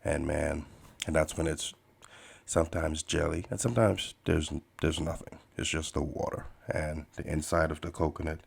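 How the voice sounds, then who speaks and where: A man's voice: rumbling low, fairly even intonation, moderate pace, creaky, breathy edge. A man from the Bahamas hosting a podcast, chatting casually and rambling while he draws. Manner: meandering, many fillers and restarts, laid-back. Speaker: podcast host and artist.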